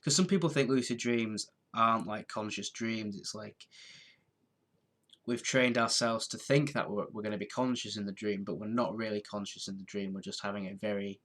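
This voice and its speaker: A man's voice: lowish voice, fairly even intonation, moderate pace, fairly bright tone. A man in his twenties with a head cold, chatting casually on a live stream, tired and congested, rambling and restarting his sentences. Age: 20s.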